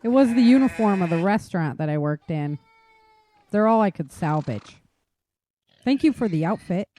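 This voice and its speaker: A woman's voice: medium pitch, lively intonation, normal speed, warm tone, a little hiss or hum, close to an everyday voice. A woman playing an injured, stoic and practical woman in an audio drama, with a subdued voice. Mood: weary, practical.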